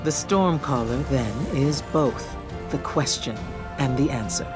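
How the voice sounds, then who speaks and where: An actress playing a wise, commanding warlock mentor in a video game, solemn, measured and authoritative. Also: female voice, a bass voice. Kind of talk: cinematic game voice acting. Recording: voice over cinematic music.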